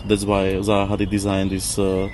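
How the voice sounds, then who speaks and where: A man talks reflectively in English. He feels for his words and repeats them as he goes. Birds and traffic are clearly audible around him. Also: sits low, completely flat delivery, darkish tone. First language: not English. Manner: halting, searching for words with repetitions. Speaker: interview guest, former architect. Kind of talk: casual reflective discussion. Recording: noisy outdoor ambience with birds, traffic.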